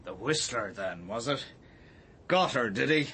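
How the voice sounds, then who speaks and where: An actor in a radio drama playing a cold, evasive father with a guarded, quietly menacing manner. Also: mid-range voice, lively intonation, measured pace, husky, heavily acted.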